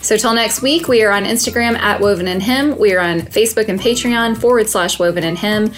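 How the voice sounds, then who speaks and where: A woman co-hosting a podcast in casual chat, with a polished, radio-style interview voice and a relaxed, agreeable manner. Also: medium pitch, fairly quick, soft background music. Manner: relaxed, agreeable, frequent short affirmations. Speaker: podcast co-host.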